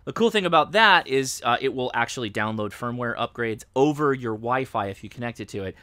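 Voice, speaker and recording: A man's voice: medium pitch, animated, a little fast, sharp tone, no room sound, studio quality. An American man in his thirties giving a casual, explanatory product review and demonstration. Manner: informal, explanatory. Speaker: host, radio reviewer. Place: indoors, home radio studio and workbench.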